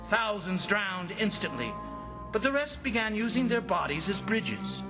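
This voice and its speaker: A man playing an anxious commissioner in an old-time radio drama, telling of horror in a dramatic, suspenseful and deliberate way. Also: high-pitched, sing-song, low-quality recording. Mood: grim dread. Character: horrified, anxious commissioner recounting an ant invasion. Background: loud dramatic music.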